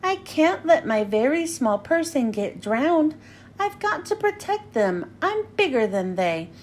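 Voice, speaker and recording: A woman voicing a gentle, protective male storybook elephant, sincere, pleading and emphatic. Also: high-pitched, big pitch swings, a little slow, a little hiss or hum. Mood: worried resolve.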